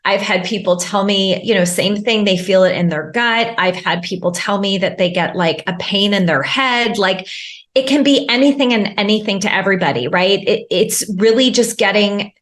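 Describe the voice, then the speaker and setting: A woman's voice: mid-range voice, moderate pace, fairly bright tone. A woman who works as a life coach, a guest on a podcast, explaining at length with lots of fillers, restarts and repeated words. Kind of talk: podcast interview.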